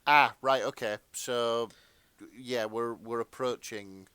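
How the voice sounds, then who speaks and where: A man's voice: mid-range voice, steady tone, slow, bright, forceful tone, smooth, no echo. A man co-hosting a podcast in casual banter, wry and wordy, with hesitations as he goes. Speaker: podcast co-host.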